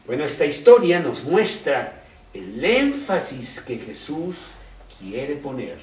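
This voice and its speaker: A man preaching a sermon in Spanish. He talks slowly and conversationally and keeps asking rhetorical questions. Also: mid-range voice, recorded from a distance. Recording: roomy echo, off-mic, faint background noise.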